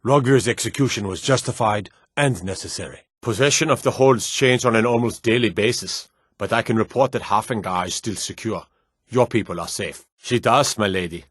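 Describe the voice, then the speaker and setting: A man voicing a stern, dutiful fantasy city guard in a video game, speaking in a gruff, formal, clipped way. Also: fairly low pitch, normal speed, fairly bright tone, no echo, clean studio sound. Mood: earnest, concerned. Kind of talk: scripted game character dialogue.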